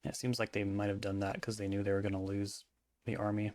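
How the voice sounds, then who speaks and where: Man, low voice, hoarse, casual game commentary, muttered thinking aloud, dry close sound. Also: flat delivery, fairly quick, darkish tone, no room sound. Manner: thinking aloud, mumbled asides. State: voice hoarse and cracking. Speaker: card game player and commentator.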